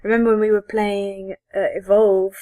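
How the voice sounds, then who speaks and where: A woman's voice: medium pitch, little pitch movement, moderate pace, dark tone. A woman co-hosting a podcast in a casual, conversational discussion of games. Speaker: podcast co-host.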